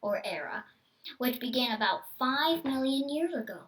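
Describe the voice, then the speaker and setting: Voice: sits high, expressive, moderate pace, fairly bright tone, recorded from a distance. A nine-year-old child hosting a read-aloud, with casual asides and some stumbles on hard words. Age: child, nine years old. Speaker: host reading a book aloud.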